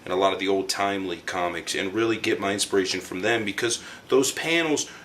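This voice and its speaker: A man with a deep voice gives interview answers for a documentary. His delivery is halting yet emphatic, and faint noise sits behind him. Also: a bit bright. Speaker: comic book artist.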